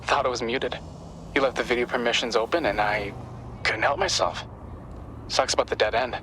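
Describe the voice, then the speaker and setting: A man's voice: medium pitch, steady tone, normal speed, natural voice. Male actor as hacker buddy, mid-range voice, casual, wry, video game drama, slight background noise. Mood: wry, apologetic.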